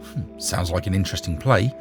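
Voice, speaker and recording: A man voicing a caring, concerned mother in a storybook, coaxing and gently questioning her young son. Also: sits low, a little fast, soft background music. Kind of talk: storybook character voice.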